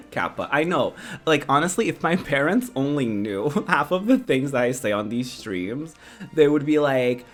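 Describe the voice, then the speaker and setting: A woman's voice: extremely low pitch, animated, unhurried, soft background music. A young Portuguese woman with an American accent, a streamer chatting casually with her viewers, giggling often and rambling with lots of "like" and "um". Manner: giggly, rambling, says "like" and "um" a lot. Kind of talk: casual chat with live viewers.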